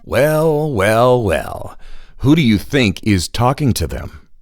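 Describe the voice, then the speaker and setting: A man's voice: mid-range voice, wildly swooping pitch, takes it slowly, vocal fry, soft background music, heavily acted. A man playing a teasing, theatrical narrator who builds suspense in a children's audio story. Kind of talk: dramatic scripted narration for children.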